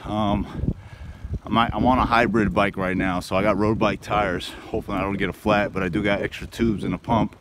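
A man chatting casually and excitedly to viewers as he films himself riding a bicycle. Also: lowish voice, moderate pace. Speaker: vlogger narrating his bike ride. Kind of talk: casual first-person vlog chat. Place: riding outdoors and through a long road tunnel. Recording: loud wind and ride noise on mic.

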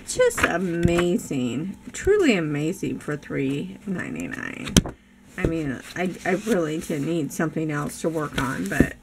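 An older woman in a casual craft haul vlog, chatty and enthusiastic, losing her voice, filmed over her craft table at home. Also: fairly low pitch. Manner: chatty, enthusiastic, frequent laughs. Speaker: crafting vlogger. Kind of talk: casual haul show-and-tell. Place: craft room table, indoors. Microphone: camera above a craft table. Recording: noisy home recording.

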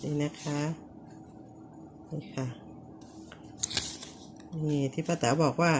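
A woman, called auntie by herself, giving a casual home demonstration, speaking politely and repeating short pointing phrases with soft polite endings. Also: deep voice, fairly even intonation, takes it slowly, background noise. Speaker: vlogger showing how to clean banknotes.

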